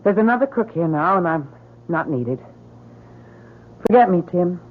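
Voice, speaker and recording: A woman's voice: on the low side, lively intonation, normal speed, lightly acted. Woman as an earnest, fatalistic radio heroine. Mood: sorrowful. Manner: earnest, melodramatic. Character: strong-willed, fatalistic young woman in love. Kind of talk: old-time radio drama acting. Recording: muffled old radio with faint noise.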